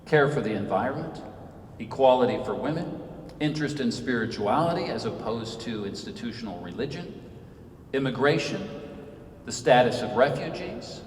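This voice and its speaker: A man's voice: mid-range voice, expressive, slight background noise. Man lecturing in an echoey cathedral. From Senegal, West Africa. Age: 50s. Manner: earnest, measured, emphatic delivery. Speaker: author and speaker. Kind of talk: public lecture. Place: large cathedral hall. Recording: echoey hall from far off.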